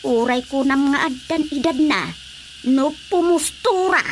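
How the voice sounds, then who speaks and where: A woman playing a talkative, teasing village woman in expressive radio drama dialogue. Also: high voice, measured pace, slight background noise.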